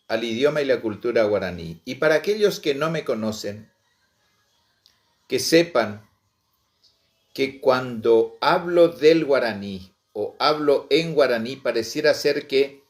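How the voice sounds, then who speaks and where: A middle-aged man tells his story in an unhurried way, lingering on each phrase. His voice sits at an ordinary middle pitch, and he pauses often with soft fillers. He speaks with heartfelt passion. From Misiones, Argentina. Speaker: Guaraní language and culture promoter. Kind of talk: personal storytelling to online viewers.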